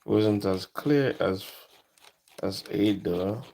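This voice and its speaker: A man giving a casual, unscripted electronics demonstration, thinking aloud in short, halting phrases. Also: on the low side, creaky, mellow, slight background noise. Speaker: electronics hobbyist presenter.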